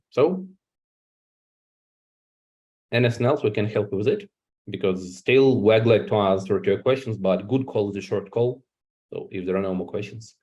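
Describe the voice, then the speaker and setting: A man organizing an online information session, answering questions in a polite, relaxed, slightly halting way. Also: on the low side, lo-fi sound. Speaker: program organizer.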